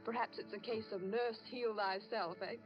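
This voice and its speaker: An actress playing a jittery, frightened nurse in a 1940s radio melodrama. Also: female voice, medium pitch, fairly even intonation, moderate pace, music in the background, natural voice. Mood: nervous. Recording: muffled old radio recording.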